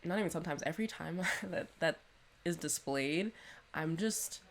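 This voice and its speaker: A woman's voice: deep voice, normal speed, a little hiss or hum. A young woman co-hosting a casual podcast, chatting loosely with plenty of "like" and swearing. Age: young adult. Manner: casual, swears freely, lots of "like". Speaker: podcast co-host. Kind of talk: casual chat.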